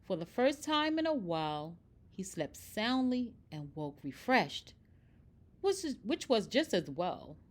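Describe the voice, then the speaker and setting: A woman reading a children's picture book aloud in expressive, scripted narration. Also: mid-range voice, measured pace. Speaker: storybook reader.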